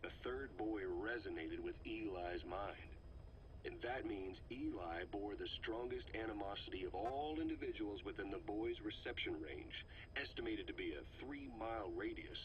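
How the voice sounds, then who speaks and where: An actor playing a grave intelligence officer, giving a measured, explanatory briefing in a video game, heard through a cassette tape filter. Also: male voice, fairly low pitch, expressive. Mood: grave, deductive. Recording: muffled, noisy cassette tape recording.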